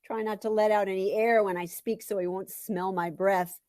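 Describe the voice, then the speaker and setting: A woman's voice: mid-range voice, steady tone, normal speed, darkish tone, no echo, clean studio sound. A woman reading a first-person story theatrically and expressively, at times dropping toward a whisper. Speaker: author reading own memoir story.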